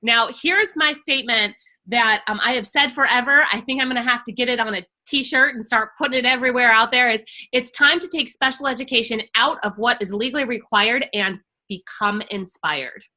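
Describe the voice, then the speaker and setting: A middle-aged woman speaking with a bright, forceful edge and a slightly high pitch. She talks passionately and emphatically, as if advising parents in a relaxed workshop chat. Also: fairly quick. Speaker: IEP coach and workshop host. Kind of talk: casual webinar conversation.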